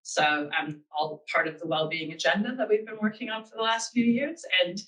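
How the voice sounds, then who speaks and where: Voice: mid-range voice, flat delivery, fairly quick, fairly bright tone, distant mic, low-quality recording. A speaker with an American accent giving a webinar talk, earnest and thinking aloud with frequent ums. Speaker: guest speaker.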